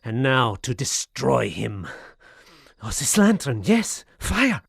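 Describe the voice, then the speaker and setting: An actor playing a tormented, paranoid gentleman losing his mind, in a theatrical radio suspense style with a husky voice. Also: mid-range voice, sing-song, slow, no room sound, clean studio sound, full character voice. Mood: manic exultation. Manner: theatrical, brooding monologue swelling to frenzy. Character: tormented paranoid Frenchman gentleman descending into madness. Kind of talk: radio suspense drama acting.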